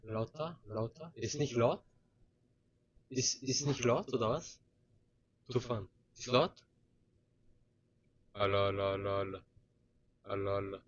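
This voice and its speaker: A man's voice: medium pitch, unhurried, long pauses, lo-fi sound. A man who streams, muttering to himself while he follows a tutorial.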